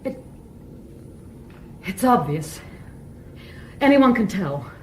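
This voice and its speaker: A woman's voice: mid-range voice, big pitch swings, extremely slow, a little mellow. Defiant woman suspect, echoey. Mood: outraged. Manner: curt, sarcastic. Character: defiant, scornful female suspect hostile to robots. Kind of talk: radio drama acting. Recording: echoey, slightly noisy radio drama recording.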